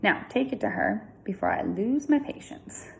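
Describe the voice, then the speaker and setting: A brisk, insistent schoolmistress from a children's storybook, read by a woman. The delivery is lively and firm, with some creak in the voice and faint noise behind it. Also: medium pitch, voice pushed up, exaggerated voice, low-quality recording. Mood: impatient. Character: brisk, impatient female schoolteacher. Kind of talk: children's storybook character reading.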